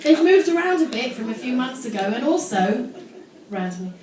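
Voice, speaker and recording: A woman's voice: sits high, big pitch swings, normal speed, crowd noise. Echoey, far-off woman reading on stage. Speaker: amateur performer reading her teenage diary on stage. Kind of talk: comic confessional reading to a live audience. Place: indoor live show in London, audience laughing behind. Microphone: distant phone camera in the audience. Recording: echoey, noisy live venue recorded from far off.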